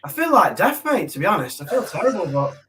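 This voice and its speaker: A man with a croaky, hoarse voice chatting casually and jokingly on a livestream. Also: sits high, very animated, fast, a bit bright, slight background noise. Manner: self-mocking, jokey banter. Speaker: co-host.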